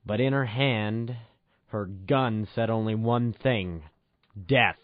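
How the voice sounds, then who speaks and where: A man voicing a brooding hardboiled noir private-eye narrator with a slow, deliberate, dramatic delivery. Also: lowish voice, dry recording, pitched below the performer's own voice. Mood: brooding, ominous. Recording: dull lo-fi radio sound.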